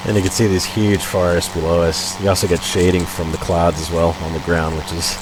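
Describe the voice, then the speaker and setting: A man's voice: low voice, fairly quick, background noise. A man giving casual, laid-back gameplay commentary as he plays, muttering reactions to the action. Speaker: gamer playing a flight simulator. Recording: loud engine-like drone behind the voice. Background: steady loud vehicle drone from game.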